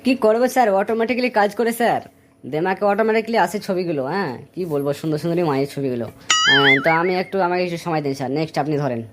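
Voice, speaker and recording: A woman's voice: fairly low pitch, rapid-fire, over loud music, natural voice. A woman voicing a cheeky cartoon schoolboy who makes rambling, pleading excuses in colloquial speech. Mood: sheepish, pleading. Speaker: voice actor in a comedy cartoon. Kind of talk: comedy cartoon dialogue.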